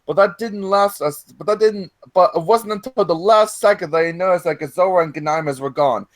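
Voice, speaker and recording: A man with a high voice reads fan fiction aloud as host. He is jokey and riffs on the text, repeating lines for emphasis and adding asides. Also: a little fast. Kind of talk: mocking read-aloud with joking asides.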